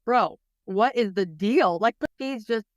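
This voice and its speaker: A woman in her thirties hosting a parenting podcast, chatting casually with animated, slangy storytelling. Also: on the high side, a little slow, no room sound, highs cut off. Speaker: podcast host.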